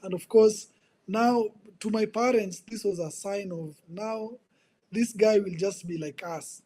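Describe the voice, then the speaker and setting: Earnest young Kenyan man, mellow voice. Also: high voice, a little slow. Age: 20s. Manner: earnest, repeats words while searching for them. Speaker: guest, ministry coach. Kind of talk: personal testimony in conversation.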